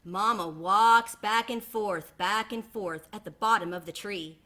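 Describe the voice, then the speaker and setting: A woman with a bright, forward voice reads aloud from a children's picture book. She sounds like a teacher reading to a class. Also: medium pitch, a little slow. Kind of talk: children's storybook read-aloud.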